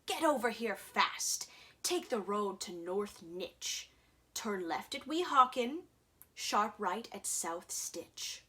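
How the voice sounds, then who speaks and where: A woman's voice: mid-range voice, sing-song, slow, fairly bright tone, slight background noise. A woman voicing a brash, greedy, boastful businessman in a theatrical storybook reading. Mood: excited.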